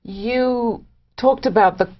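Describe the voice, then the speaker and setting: A woman hosting an interview, asking measured, conversational questions and rephrasing them as she goes. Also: on the high side, muffled recording. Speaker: interview host.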